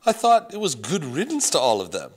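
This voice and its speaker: A man's voice: fairly high pitch, huge pitch swings, a little fast, pitched above the performer's own voice. A man voicing an elderly French grandmother who is doting and blunt, with a thick French accent. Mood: doting, puzzled. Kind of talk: audiobook character voice.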